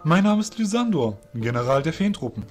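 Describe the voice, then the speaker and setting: A polite young fairy general acted by a man in a fantasy audio drama. His voice is slightly high and swings widely in pitch, yet his wording stays formal and composed. Faint music plays behind him. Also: big pitch swings. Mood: polite, serious. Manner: courteous, measured, formal.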